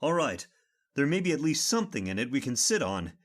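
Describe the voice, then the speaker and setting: A man plays a dry, commanding gentleman in an old-time radio horror play. His speech is animated in pitch while his temper stays wry and steady. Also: a little fast. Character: dry, unflappable gentleman master sheltering from a storm.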